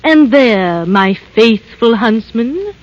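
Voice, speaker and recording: Imperious evil queen, sweeping pitch. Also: animated, muffled recording. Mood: menacing. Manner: commanding, theatrical, deliberate delivery. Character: cold, imperious evil queen, vain and murderous. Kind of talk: 1930s radio drama acting. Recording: band-limited 1930s radio broadcast.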